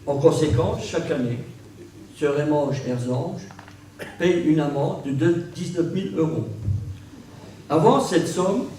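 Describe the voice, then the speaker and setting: A man, a local politician, delivering a formal, measured New Year address from a prepared text. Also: fairly high pitch, mellow. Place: large reverberant room at a public ceremony. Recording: very echoey hall, distant mic, faint noise.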